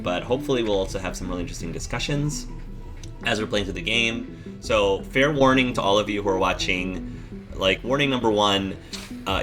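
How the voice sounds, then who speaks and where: Guy hosting a lets play, kinda low voice, laughs a lot, guitar music in the back. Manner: chatty, laughs easily, analytical. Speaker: let's play host. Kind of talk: casual group chat. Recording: guitar music playing underneath.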